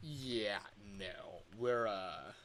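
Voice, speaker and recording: A man chatting casually on a livestream in an excitable, expressive manner. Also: fairly low pitch, very slow, a little hiss or hum. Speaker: livestreamer and hobby voice actor.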